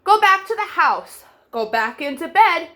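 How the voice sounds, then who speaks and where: A woman's voice: very high-pitched, very animated, a little slow, sharp tone, voice pushed up, exaggerated voice. Woman doing a bossy old tulip conductor. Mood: cross. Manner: cross, bossy. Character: fussy old male tulip choir conductor.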